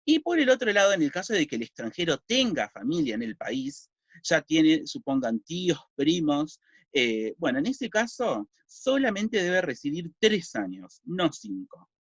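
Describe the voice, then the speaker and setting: A man with a Uruguayan accent gives a civics lesson, his voice set a little high and moving up and down a great deal as he explains. He asks questions and then answers them himself. The recording is dry and a bit dull. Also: big pitch swings, measured pace, husky, no echo. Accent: Uruguayan Spanish. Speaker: civics teacher.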